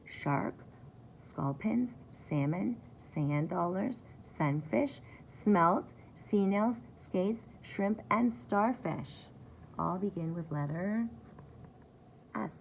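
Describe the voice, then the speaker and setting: A woman reading aloud for young children like a preschool teacher, in a simple, deliberate way, repeating key words. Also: medium pitch, expressive, gravelly, a little hiss or hum, low-quality recording. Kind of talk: children's story time read-aloud.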